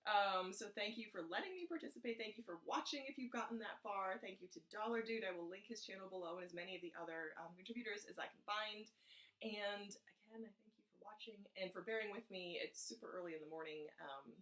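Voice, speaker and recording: A woman's voice: mid-range voice, quick pace, fairly bright tone. Young woman vlogging, chatty, scratchy morning voice, echoey room. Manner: chatty, lots of fillers and laughs. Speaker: personal finance vlogger. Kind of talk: casual vlog chat.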